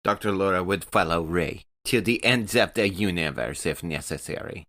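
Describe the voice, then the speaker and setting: A man's voice: low voice, moderate pace, sharp tone. A man taking part in a tabletop role-playing game as a player, talking casually and describing his character's actions.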